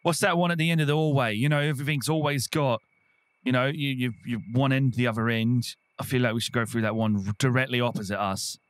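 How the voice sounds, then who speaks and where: A man's voice: medium pitch, fairly even intonation, fast, clean tone. A man playing a tabletop game, bantering in a casual, folksy, sweary way.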